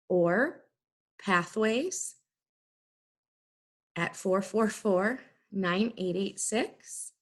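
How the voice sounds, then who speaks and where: A woman teacher giving an instructional lesson, explaining things in clear, simple, step-by-step phrases. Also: medium pitch. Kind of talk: instructional video lesson for students.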